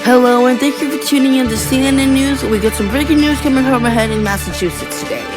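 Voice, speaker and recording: A woman acts a breaking-news anchor over prominent music. Her voice sits fairly high as she presents a scripted television report in a formal, presentational way. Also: fast, natural voice, rough recording. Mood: formal, newsy. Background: music behind the voice.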